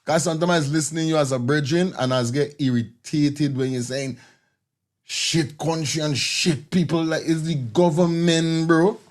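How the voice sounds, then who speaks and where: A man's voice: a little high, fairly even intonation, moderate pace. A man with a Guyanese Creole accent, co-hosting a casual chat, talking loosely with stammering restarts. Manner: loose, rambling, stammering restarts, laughs often. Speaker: co-host.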